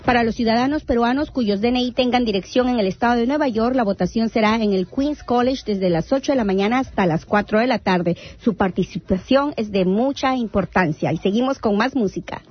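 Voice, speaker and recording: A woman's voice: on the high side, fairly quick, few pauses. A woman hosting a Spanish-language community radio show, greeting guests and reading announcements in an upbeat way. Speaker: radio host. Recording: dull lo-fi radio sound with music behind.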